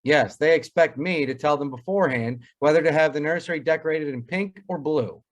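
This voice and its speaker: A man's voice: on the high side, a little fast, close to an everyday voice. A man playing a wry, pompous family doctor in old-time radio comedy, wordy and drily sarcastic. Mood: joking.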